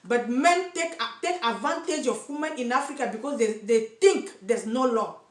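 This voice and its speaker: A woman's voice: fairly high pitch, lively intonation, moderate pace. Impassioned Cameroonian woman, echoey room. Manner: impassioned, repeats words while searching for English. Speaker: activist for single mothers. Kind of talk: direct-to-camera vlog.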